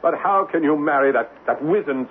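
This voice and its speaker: A man playing a proud cavalry major, a clipped and forceful officer, in old-time radio drama style. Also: fairly high pitch, a little fast, lightly acted. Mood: hurt, insistent. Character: handsome, indignant British cavalry major, jilted suitor. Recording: tinny band-limited old radio broadcast, hiss.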